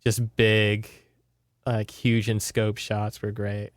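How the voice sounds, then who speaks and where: A man's voice: lowish voice, monotone, slow pace, no room sound, studio quality. A man co-hosting a podcast, giving his opinions in casual, conversational talk. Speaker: podcast co-host. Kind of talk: casual chat, reviewing a film.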